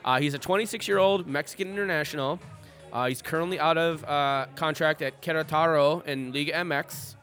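Rambling, swearing podcast host. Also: male voice, on the high side, a little fast, a bit bright, faint music behind. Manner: rambling, profane, many fillers and restarts. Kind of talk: casual sports chat.

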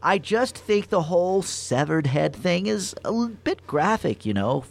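A man's voice: high-pitched, sing-song, unhurried, vocal fry, full character voice. A man playing a nervous, befuddled boss in a comic radio play, stammering and apologetic. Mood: flustered eagerness. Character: nervous, meek, befuddled male rabbit boss turned underling.

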